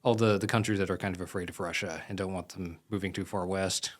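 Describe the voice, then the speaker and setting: Fast-talking man hosting a podcast. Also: low voice, fairly even intonation. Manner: quick, chatty, many fillers. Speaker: podcast host. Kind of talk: casual interview.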